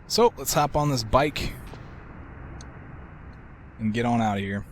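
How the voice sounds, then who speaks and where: A man giving casual, relaxed commentary over a video game walkthrough, with a soft, soothing way of talking. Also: medium pitch, a little slow, background noise. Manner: relaxed, soft-spoken, self-described soothing voice.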